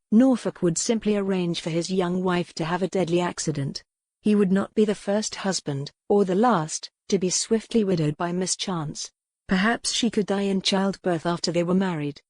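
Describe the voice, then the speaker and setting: Audiobook narrator reading a historical romance, measured storytelling, mid pitch, no room sound at all. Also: darkish tone, dry recording, studio quality.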